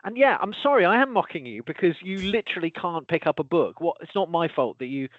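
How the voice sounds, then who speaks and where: A man chatting casually as a host, with a fairly high voice. He talks in an animated, self-interrupting way, with exasperated asides. The audio is dry and a little dull, with no room around it. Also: fast, no echo. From lives in Wales. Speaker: podcast host, archaeology professor. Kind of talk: casual conversation.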